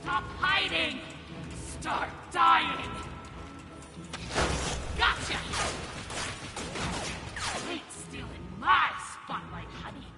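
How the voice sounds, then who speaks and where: A woman's voice: very high voice, animated, drawn-out words, long pauses, reverberant, music in the background, full character voice. An actress playing a vain, murderous demonic angel woman in a video game, theatrical, menacing and taunting. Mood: taunting fury. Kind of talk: video game villain acting.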